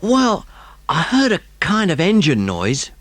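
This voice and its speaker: Man putting on a young British lad, raised pitch, awed, earnest, hesitant, audiobook drama, dry sound. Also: huge pitch swings, unhurried, fairly bright tone, no room sound, heavily acted. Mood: awed, thoughtful. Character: awed, admiring working-class young boy, simple and eager.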